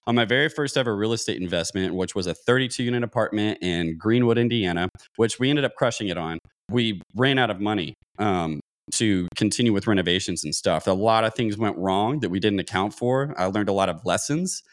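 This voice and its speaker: A man's voice: on the low side, a little fast. A man in his thirties explaining things conversationally as an interview guest, thinking aloud and often restarting sentences. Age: 30s. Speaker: podcast guest, real estate investor.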